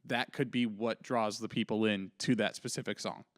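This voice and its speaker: A man's voice: fairly low pitch, fairly even intonation, moderate pace, dry recording, studio quality. A man hosting a podcast, easygoing and agreeable in casual chat. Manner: easygoing, agreeable, laughs often. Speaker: podcast host.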